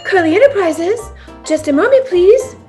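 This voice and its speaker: A woman's voice: extremely high pitch, lively intonation, moderate pace, over music. A woman playing a polite, efficient young secretary in an old-time radio play. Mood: polite, businesslike.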